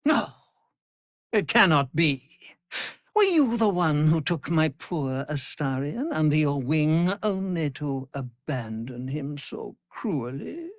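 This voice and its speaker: Cruel vampire master for a video game: imperious, wildly swooping pitch, very slow and savoring. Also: male voice, sing-song, no room sound, studio quality. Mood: raging disbelief, then sneering menace. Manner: pompous, imperious, sneering. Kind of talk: theatrical video game villain acting.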